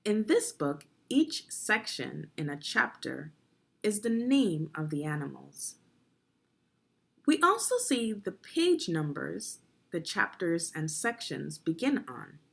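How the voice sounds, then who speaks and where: A woman with a slightly low, husky voice reads a nonfiction book aloud for children. She speaks slowly and deliberately, like a teacher guiding young learners, with lively rises and falls in pitch. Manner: deliberate, clearly phrased, guiding young listeners with questions. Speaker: teacher narrating a children's read-along video.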